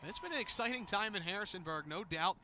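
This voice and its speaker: High-pitched American man calling a game. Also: animated, quick pace, sharp tone. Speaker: play-by-play announcer. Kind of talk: live sports commentary. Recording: clear outdoor stadium noise behind. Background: outdoor ambience of a game.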